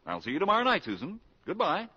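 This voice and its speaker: A man's voice: high-pitched, wildly swooping pitch, very noisy. An actor playing a genial, fussy middle-aged suitor in 1940s radio comedy style, effusive and drawing out his words. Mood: delighted. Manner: effusive, drawn-out, repeats himself. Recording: dull vintage 1940s radio recording.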